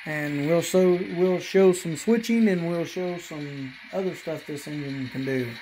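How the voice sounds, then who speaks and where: Halting, easygoing man narrating his trains. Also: on the high side, slight background noise, low-quality recording. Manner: halting, many fillers and restarts, relaxed. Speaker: model railroad hobbyist channel host. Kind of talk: casual running commentary while operating trains. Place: apartment room with model train layout.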